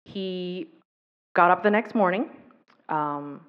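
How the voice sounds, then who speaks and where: A woman from the US East Coast whose first language was Taiwanese, giving an earnest, reflective personal talk on violence prevention. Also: lowish voice. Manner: reflective, earnest, with pauses and fillers. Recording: dull recording in an echoey hall.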